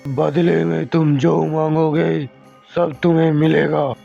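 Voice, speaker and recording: A man voicing a gravelly flesh-eating ghost. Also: a little high, little pitch movement, takes it slowly, mellow, faint music behind, exaggerated voice. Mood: pleading. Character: crazed flesh-eating male ghost, powerless. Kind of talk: horror cartoon voice acting. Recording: muffled lo-fi recording.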